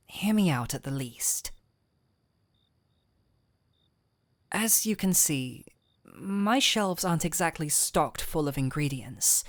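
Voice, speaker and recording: Audio roleplay actress as kindly woman physician: deep for a woman, close dry recording, soothing and tender. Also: dry recording. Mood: earnest, explaining. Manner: gentle, unhurried, soothing. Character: gentle, caring fantasy physician woman, patient and softly teasing. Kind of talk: intimate scripted audio roleplay.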